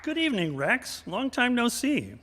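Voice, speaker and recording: A man's voice: very high voice, very animated, moderate pace, bright, forceful tone, a little hiss or hum. A man hosting a live radio theater show from a church, folksy and tongue-in-cheek, with theatrical emphasis. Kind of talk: live stage hosting, storytelling. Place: large church sanctuary, indoors. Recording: reverberant church sanctuary.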